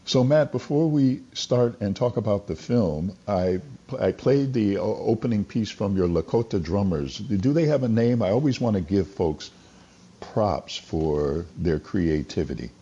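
Male radio host, low mellow voice with a husky edge, interviewing guests. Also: animated, a little slow, dull audio. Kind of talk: live radio interview hosting.

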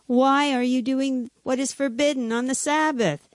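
A woman's voice: high-pitched, fairly even intonation, measured pace, no echo, studio quality, pitched above the performer's own voice. A woman voicing a worried, reproachful father in a dramatic storybook read-aloud. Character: worried, reproachful father Joseph admonishing his young son.

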